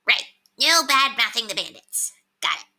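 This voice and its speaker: A woman's voice: fairly high pitch, sing-song, normal speed, bright, forceful tone, voice pushed up, exaggerated voice. A woman voicing a chatty, cynical small male rat in a storybook, grumbling and informal.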